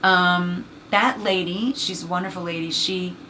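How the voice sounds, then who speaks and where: A woman with a husky, low voice, chatting as an interview guest about her acting career, rambling and filling gaps with "you know.". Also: steady tone, unhurried, pushed and bright, slight background noise, poor audio. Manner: rambling, many fillers. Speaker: actress and voice actor guest. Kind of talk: casual interview chat.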